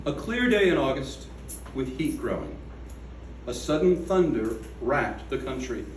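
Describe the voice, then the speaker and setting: A man reading his own poem aloud at a gallery event, slow and measured, with falling line endings. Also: mid-range voice, sing-song, slight background noise. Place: art gallery room. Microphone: away from the microphone. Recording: echoey room from a distance.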